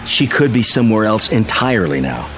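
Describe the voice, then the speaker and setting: An actor in a radio drama playing a level-headed family friend, measured and reasoning. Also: male voice, on the low side, very animated, fairly bright tone, slight background noise. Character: level-headed, rational family friend, a man explaining the inexplicable.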